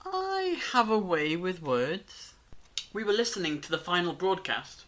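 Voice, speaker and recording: A performer voicing a mysterious, self-assured eccentric traveller in an audio drama, speaking in a measured, cryptic, theatrical way. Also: mid-range voice, big pitch swings, fairly bright tone. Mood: intrigued, cryptic. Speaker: voice actor in fan audio drama.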